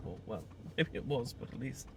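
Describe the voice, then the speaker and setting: A man's voice: mid-range voice, expressive, normal speed, creaky, dark tone, a little hiss or hum. A British man acting a heartbroken, newly separated friend in an intimate audio roleplay, stammering and self-deprecating as he shyly confesses an old love. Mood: rambling, wistful. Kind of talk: intimate audio roleplay, naturalistic monologue to the listener.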